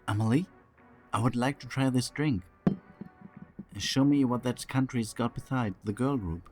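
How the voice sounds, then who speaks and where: An actor playing a casual, teasing older brother in an audio drama, with a dry manner. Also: male voice, mid-range voice, unhurried, darkish tone, faint music behind, close to an everyday voice. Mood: casual request. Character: sluggish, teasing German man, an older brother.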